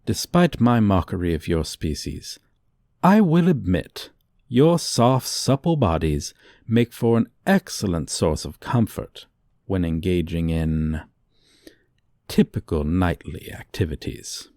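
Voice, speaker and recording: A man's voice: deep voice, sing-song, takes it slowly, warm tone, no room sound, studio quality, heavily acted. A man voicing a haughty, courting dragon with pompous, archaic diction in an ASMR fantasy romance roleplay. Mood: haughty, teasing. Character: haughty, archaic-speaking male dragon, tenderly courting a human.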